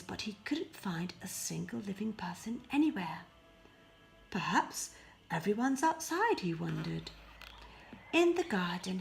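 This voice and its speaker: Slow-paced woman narrating a fairy tale. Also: on the low side, creaky. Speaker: storybook reader.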